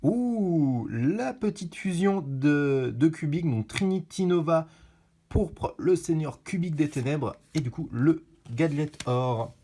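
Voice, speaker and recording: A man giving casual commentary while opening card packs, chatty and bursting into excited shouts at rare pulls. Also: a little high, takes it slowly.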